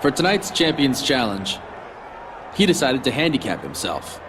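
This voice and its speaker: Smug, boastful wrestling sidekick, mid-pitched and mocking, video game promo over noisy ambience. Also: male voice, wildly swooping pitch, normal speed. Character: smug male wrestling sidekick hyping his giant friend.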